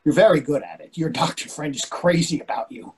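A man's voice: a little high, brisk, a little mellow, breathy edge. A man in his sixties playing an anxious, devoted, fussy husband in a play reading over a video call, earnest and questioning. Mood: earnest, tender. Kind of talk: readers theatre play reading.

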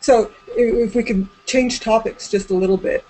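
A woman's voice: on the high side, steady tone, normal speed, warm tone, slight background noise, poor audio. A woman in her forties casually interviewing a guest over a video call from a room at home. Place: small indoor room at home. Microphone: computer mic on a video call.

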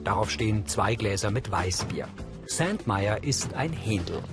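A man's voice: fairly low pitch, animated, a little slow, over loud music. A man reading scripted audio description for a television drama in a matter-of-fact way. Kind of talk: scripted narration.

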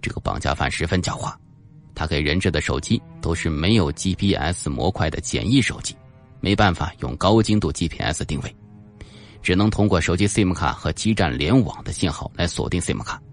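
A man voicing an excited male police technical chief explaining things in a suspense audiobook. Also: deep voice, brisk, faint music behind.

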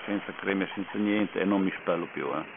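Male radio operator, lowish register, relaxed chatting, tinny CB link buried in loud noise. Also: normal speed, creaky. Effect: CB radio transmission. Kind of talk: casual chat over CB radio.

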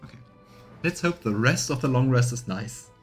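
A man's voice: mid-range voice, lively intonation, normal speed, soft background music. A man in his thirties giving casual commentary as he streams a game playthrough. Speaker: let's play streamer.

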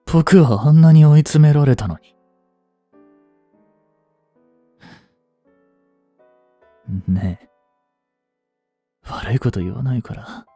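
A man acts out an obsessive, lonely young stalker in a voice drama. He speaks slowly in a dark, mellow tone, coaxing and unstable, as if right beside the listener. Faint music sits behind the close, dry voice. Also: mid-range voice, animated, no room sound. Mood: agitated, jealous. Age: 20s. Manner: soft, coaxing, brooding delivery with drawn-out pauses. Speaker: voice actor. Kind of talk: intimate Japanese voice drama, addressed to the listener.

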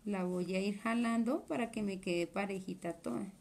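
A woman's voice: mid-range voice, moderate pace, vocal fry, darkish tone. A woman, chatting through a live sewing class while she works at a table. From Colombia. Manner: chatty, explains while working with her hands. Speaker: sewing instructor. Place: indoor workroom at a cutting table.

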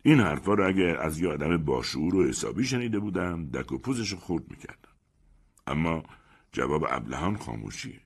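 A man's voice: very deep voice, very animated, gravelly, husky, full character voice. A man voicing a proud, touchy middle-aged man who talks in a haughty, declaiming way. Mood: contemptuous.

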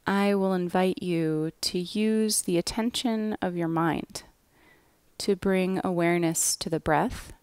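A woman leads a guided relaxation at a very slow, unhurried pace. Her voice sits on the low side and her phrases end softly. The sound is close and dry, with very little room around it. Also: clean tone, dry recording. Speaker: yoga nidra guide. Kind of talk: guided relaxation meditation, scripted.

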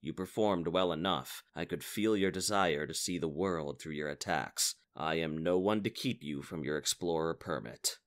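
A man's voice: very deep voice, normal speed, few pauses. A man voicing a stern, formal male ant knight in a fan dub of a video game.

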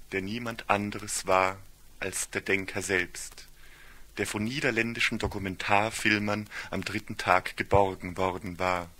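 A man's voice: lowish voice, sharp tone. A man giving a scripted literary narration for a radio play in a solemn, ornate storytelling manner.

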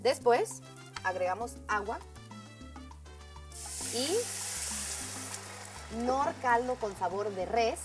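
A woman's voice: a little high, lively intonation, slow, pauses often, music in the background. A woman hosting a cooking show, walking viewers through a recipe step by step in an upbeat, friendly way, calling them friends. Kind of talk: scripted cooking demonstration.

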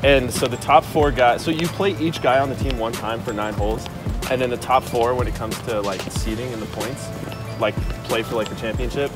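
A man's voice: medium pitch, brisk. Young man chatting outdoors over background music. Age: young adult. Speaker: college golfer being interviewed. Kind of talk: casual chat. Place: outdoors walking on a university campus.

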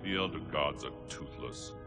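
An actor playing a sinister, mocking sorcerer villain in a video game, deliberate and menacing. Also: male voice, a bass voice. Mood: gloating menace. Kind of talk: dramatic video game acting. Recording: loud music behind the voice.

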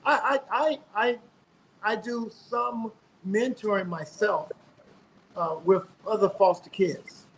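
A man's voice: high-pitched, steady tone, takes it slowly, a little hiss or hum, low-quality recording. A middle-aged man from South Carolina, speaking earnestly as an interview guest on a video call from home, often repeating words as he gathers his thoughts. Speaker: guest, singer. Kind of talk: casual interview. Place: home room indoors.

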